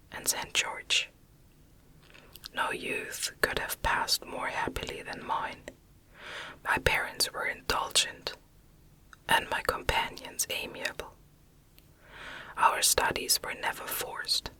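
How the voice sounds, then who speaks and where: A woman's voice: extremely high pitch, pushed and bright, rough recording. Woman whispering an ASMR story reading. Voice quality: breathy unvoiced whisper. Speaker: ASMR audiobook reader.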